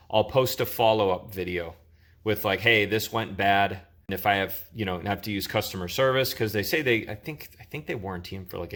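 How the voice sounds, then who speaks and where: A man's voice: on the low side, fairly bright tone. A man hosting an informal product review, talking in a casual, conversational way.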